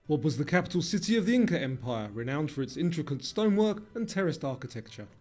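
A man reading scripted quiz questions and answers in a measured way, as the narrator of a trivia video. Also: fairly high pitch, big pitch swings, darkish tone, soft background music.

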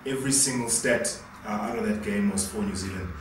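A man's voice: lowish voice, brisk. A man on a sports panel, speaking earnestly and stumbling over repeated words. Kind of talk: casual studio sports chat. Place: small studio room, indoors. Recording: echoey room from a distance, faint noise.

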